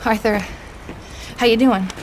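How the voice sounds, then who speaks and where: A woman's voice: on the high side, fairly even intonation, measured pace, a little hiss or hum, lightly acted. A woman playing an anxious, pleading young frontier mother in a western video game. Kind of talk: dramatic video game acting.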